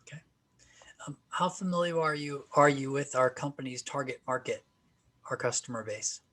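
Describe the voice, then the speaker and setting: A man conducting a practice job interview, asking questions in a measured way with occasional fillers. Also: medium pitch, a bit bright.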